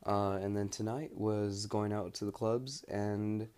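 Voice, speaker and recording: A young American man recording a casual, rambling spoken diary, pausing often mid-thought as he searches for words. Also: on the low side, fairly even intonation, a little mellow, clear, resonant tone. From United States. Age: young adult. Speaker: study-abroad student keeping an audio log.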